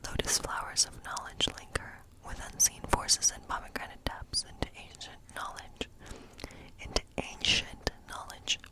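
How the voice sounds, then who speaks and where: A woman whispering an ASMR tarot reading as a gentle witch, musing aloud in an unhurried way. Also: low-quality recording. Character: gentle fortune-telling witch.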